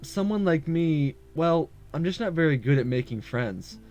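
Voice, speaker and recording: A man's voice: on the high side, lively intonation, moderate pace, darkish tone, close to an everyday voice. A man voicing a lonely, homesick university student in introspective, polite visual novel narration. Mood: wistful. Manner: introspective first-person narration, polite and subdued. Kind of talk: visual novel read-aloud. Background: music.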